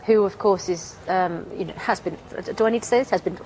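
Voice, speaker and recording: A woman's voice: medium pitch, fairly quick, darkish tone, a little hiss or hum, poor audio. A woman asking questions in a conversational interview, rephrasing them as she goes.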